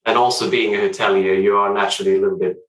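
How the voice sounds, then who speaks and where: A man from Sweden with a Swedish accent, a guest talking through his work in a measured, hesitant way with frequent restarts. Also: fairly low pitch, little pitch movement, fairly bright tone, reverberant, far from the mic. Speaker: hotel development director, podcast guest. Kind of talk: casual interview chat.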